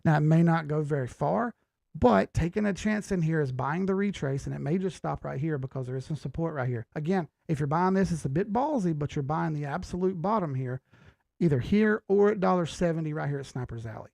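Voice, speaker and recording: A man with a slightly high voice and a lot of fry in it. He talks like a livestream host, loose and slangy, thinking aloud as he goes. The sound is dry and close. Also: lively intonation, brisk, a little mellow, dry recording. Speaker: host of a live market analysis stream. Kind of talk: casual live chart commentary.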